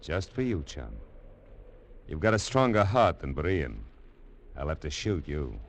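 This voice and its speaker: An actor playing a ruthless, sardonic treasure-hunting villain in a 1940s radio drama. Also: male voice, a bass voice, very animated, a little slow, heavily acted. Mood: coldly menacing. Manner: sardonic, calling people chum. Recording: noisy vintage 1940s radio recording.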